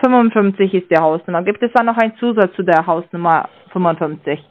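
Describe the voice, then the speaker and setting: A woman's voice: lowish voice, brisk, no echo. A woman calling as a sales agent over the phone, talking hesitantly with many filler sounds and non-native grammar. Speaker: sales caller. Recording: dry tinny phone line.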